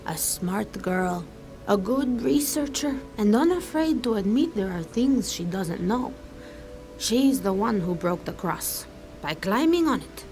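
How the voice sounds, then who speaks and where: A woman playing a proud, outspoken village herbalist in video game dialogue, forthright and dignified, sharp but caring. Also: medium pitch, animated, unhurried, faint music behind, poor audio. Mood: concerned.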